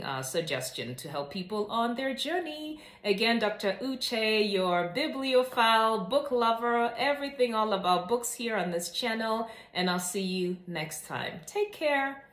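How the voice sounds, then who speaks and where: A woman hosting a children's read-aloud and casual book review, speaking in an expressive way. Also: medium pitch. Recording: echoey room.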